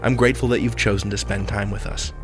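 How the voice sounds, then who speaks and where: A man's voice: lowish voice, fairly even intonation, fast talker. A man hosting a podcast in a scripted yet conversational style, with a playful, theatrical and wry delivery. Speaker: podcast host. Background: music.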